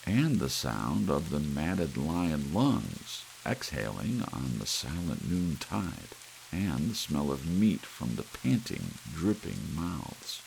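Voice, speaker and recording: A man narrating a scripted suspense story as an ASMR audiobook, with soft rain behind him. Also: a bass voice, vocal fry, poor audio. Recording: faint hiss and soft rain.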